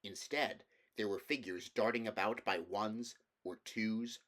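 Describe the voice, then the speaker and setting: Man, fairly low pitch, amateur audiobook reader, scripted fantasy narration. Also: steady tone, measured pace, fairly bright tone.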